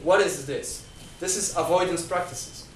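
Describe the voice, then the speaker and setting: A man's voice: on the high side, unhurried, a little hiss or hum. Man lecturing in an echoey room. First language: not Chinese. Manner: numbered points, frequent restarts and repeated words. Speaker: guest speaker. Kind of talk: lecture-style talk. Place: talk venue, indoors. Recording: echoey room, mic at a distance.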